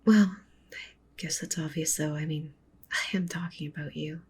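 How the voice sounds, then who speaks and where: A woman playing a doting, possessive wolf witch girlfriend in an ASMR roleplay, speaking softly and breathily, often in a whisper. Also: sits low, steady tone, normal speed, breathy edge, full character voice. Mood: lovestruck, doting. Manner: cooing, doting, with possessive menace. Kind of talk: ASMR roleplay, intimate soft speech and whispering.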